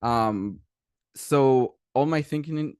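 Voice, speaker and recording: A young Mexican man tells his story in accented English, full of "uh," "like" and restarted phrases. His pitch sits in a medium range, and the sound is dry and close with no room echo. He rambles in an animated, casual way. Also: slow pace, smooth. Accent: Mexican Spanish. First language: Spanish. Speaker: guest speaker, animation creator.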